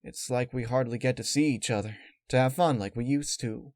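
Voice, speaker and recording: A man's voice: mid-range voice, fairly quick, a little mellow, dry recording, clean studio sound, natural voice. A man voicing an anxious pregnant farm mare with folksy country phrasing in a story reading. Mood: wistful.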